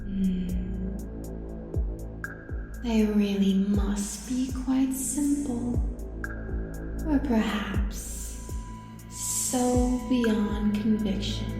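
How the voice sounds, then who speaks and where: A woman voicing an ancient, cruelly manipulative dark entity in an audio roleplay, speaking deliberately and menacingly, at times in whispers. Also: medium pitch. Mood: smug contempt. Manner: slow, deliberate, savouring menace, dropping into whispers. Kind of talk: scripted audio roleplay, ASMR drama. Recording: long cavernous reverb, faint music behind.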